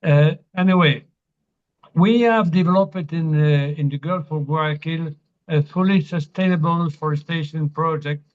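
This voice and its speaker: A man with a French accent, a non-native English speaker, giving hesitant remarks on a video call with many pauses and fillers. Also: on the high side, lo-fi sound. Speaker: meeting participant.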